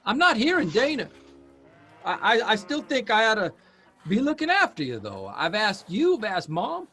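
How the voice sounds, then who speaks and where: An actor playing an earnest, worried grown son in a naturalistic audio drama, halting and exasperated but affectionate. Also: male voice, high voice, wildly swooping pitch, pushed and bright, heavily acted. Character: earnest grown son, a newspaper writer, worried about his aging father. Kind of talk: naturalistic audio drama acting at a live reading. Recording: music behind a live stage reading.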